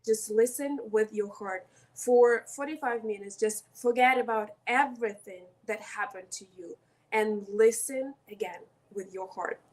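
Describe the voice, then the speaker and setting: A woman's voice: fairly high pitch, unhurried. A woman from Ukraine with a Ukrainian accent, speaking as a podcast guest in an earnest, emphatic way. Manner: earnest and emphatic, stresses words one at a time. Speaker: guest, coach. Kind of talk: podcast interview.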